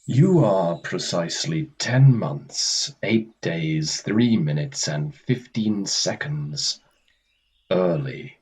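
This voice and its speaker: A man playing a weary, stern Death in a radio play, speaking in a curt, formal, deadpan way. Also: deep voice, slow, husky, heavily acted. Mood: stern, irritated. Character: Death, weary, stern, gentlemanly and easily irritated.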